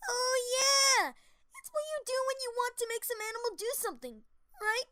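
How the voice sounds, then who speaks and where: A woman's voice: extremely high pitch, expressive, normal speed, sharp tone, no echo, studio quality, exaggerated voice. A woman voicing a brash, cocky young cartoon pegasus pony, with blunt, casual bravado. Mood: puzzled.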